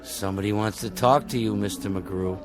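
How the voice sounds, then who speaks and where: A man's voice: lowish voice, fairly even intonation, a little fast, lightly acted. An actor playing a matter-of-fact studio crewman with an American accent in a radio drama.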